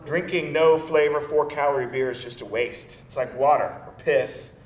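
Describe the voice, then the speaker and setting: A man's voice: fairly even intonation, normal speed, lightly acted. Man acting a blunt, teasing boyfriend, echoey. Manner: casual, bantering. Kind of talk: conversational screenplay table read. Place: live table read in a roomy indoor space. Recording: distant mic, echoey room, rumble.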